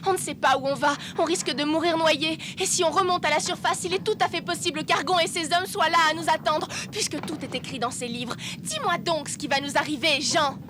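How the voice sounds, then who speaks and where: A woman voicing a headstrong teenage girl acrobat in an animated dub, sharp and quick to accuse. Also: sits high, noisy background, lightly acted. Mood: anxious.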